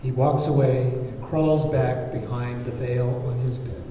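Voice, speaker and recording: Casting: male script narrator, dark mellow tone, reading screenplay action, recorded at a distance. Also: medium pitch, steady tone, unhurried. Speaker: narrator reading stage directions. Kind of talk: scripted narration at a table read. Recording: very echoey, distant, noisy room recording.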